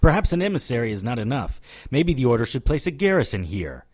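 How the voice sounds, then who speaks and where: An actor playing a tough, wry investigator who narrates his own adventures in a deadpan, hardboiled audio drama style. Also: mid-range voice, fast. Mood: firm, guarded.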